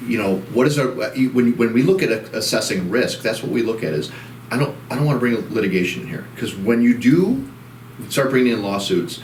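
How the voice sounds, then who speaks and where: A man's voice: medium pitch, animated, fairly quick, far from the mic, slight background noise. A man co-hosting a casual chat show, rambling with many restarts, his voice soothing. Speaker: co-host. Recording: echoey room, off-mic.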